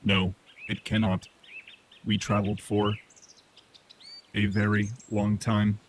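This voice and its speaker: Sci-fi drama AI companion, male performer: monotone, low, matter-of-fact, formal; noisy background. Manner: measured, emotionless, formal. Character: Pine, matter-of-fact analytical artificial intelligence companion.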